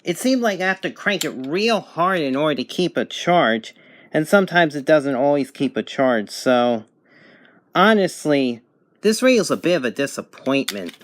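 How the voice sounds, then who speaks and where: A man giving a casual, unscripted product review in a plain-spoken, matter-of-fact way. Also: medium pitch. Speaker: radio reviewer.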